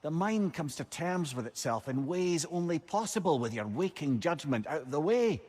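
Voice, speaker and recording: A man's voice: a little high, animated, moderate pace, darkish tone, breathy edge. An actor playing a wry, reflective old male companion in a video game, talking in an eloquent, confiding storyteller's way. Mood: wistful. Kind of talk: dramatic video game acting.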